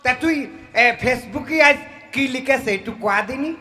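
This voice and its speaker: A man's voice: extremely high pitch, a little slow, bright, forceful tone, breathy edge. A man performing a comic skit in a colloquial, indignant, scolding manner. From Bangladesh. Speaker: comedian. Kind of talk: comic skit with mock phone call.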